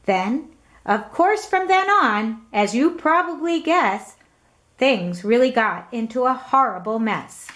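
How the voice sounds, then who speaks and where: A woman reading a children's story aloud in an expressive, dramatic and emphatic narrating style. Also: a little high, takes it slowly. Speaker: storybook reader.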